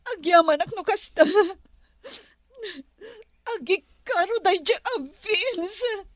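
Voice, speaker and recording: A woman's voice: very high voice, lively intonation, takes it slowly, no room sound, full character voice. An actress playing a distressed, indignant lady in a melodrama, pleading and exclaiming emotionally. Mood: shaken. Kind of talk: melodramatic drama acting.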